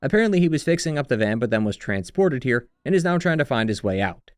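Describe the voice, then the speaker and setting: A man's voice: medium pitch, very animated, quick pace. A man narrating a scripted retrospective as a video essayist, with sardonic, wry commentary. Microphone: close, dry voice-over mic.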